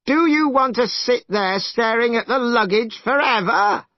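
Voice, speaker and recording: A man doing a furious shouting monkey king. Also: fairly high pitch, lively intonation, moderate pace, no echo, voice pushed up, lightly acted. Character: hot-tempered boastful male monkey king. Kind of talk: storybook reading. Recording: dull dry recording.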